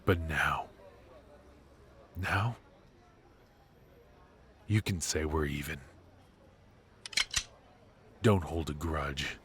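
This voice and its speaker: A male voice actor plays a ruthless, suave mafia boss in an intimate noir roleplay. His voice sits very low and he takes his time, leaving pauses between short phrases. He is smooth-talking, teasing and menacing. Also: a bit bright, exaggerated voice. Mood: menacing, restrained.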